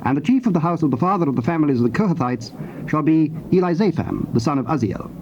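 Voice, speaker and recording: A man narrates scripture formally and solemnly, in measured phrases. His voice sits a little high, on a dull cassette recording with faint noise behind it. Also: expressive, a little mellow. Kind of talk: scripted dramatized Bible narration. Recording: dull, noisy old cassette tape.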